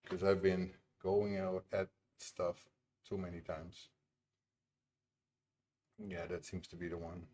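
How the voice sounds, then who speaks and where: Guy streaming his game dev, kinda low voice, laughs a lot, mumbles while he clicks around. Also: flat delivery, slow pace, long pauses, dark tone. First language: Dutch. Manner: chatty, laughs often, mutters to himself while working. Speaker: indie game developer streaming. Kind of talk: casual live-stream chat while working.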